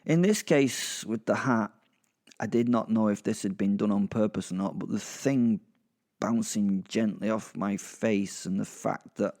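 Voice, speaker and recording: Low, gravelly British werewolf played by a man. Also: a little slow, darkish tone. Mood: exasperated. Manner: rambling, sweary, sardonic asides to the listener. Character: crude, kinky, irritable male werewolf narrating his ordeal. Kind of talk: first-person comic horror audio drama narration.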